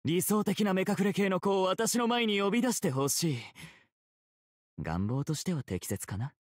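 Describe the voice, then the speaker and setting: Game pirate captain: courtly, teasing, theatrical, highly animated delivery, dry close sound. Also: male voice, moderate pace, no room sound. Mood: smug, elegant. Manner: polite, theatrical, self-assured. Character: suave, elegant, teasing gentleman pirate captain. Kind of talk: Japanese game character voice acting.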